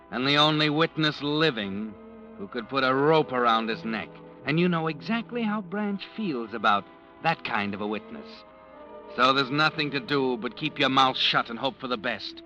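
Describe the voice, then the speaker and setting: Brooding male narrator for an old radio thriller, bright edge to the voice, muffled lo-fi sound. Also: medium pitch. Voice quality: brooding, insinuating. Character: ominous second-person narrator, male. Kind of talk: 1940s radio drama narration.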